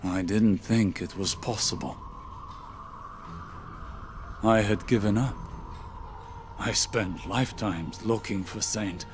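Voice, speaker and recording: A man playing an aloof, wry older warlock sage in a video game, speaking in a dry, measured, sardonic manner. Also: fairly low pitch, music in the background. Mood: weary wonder.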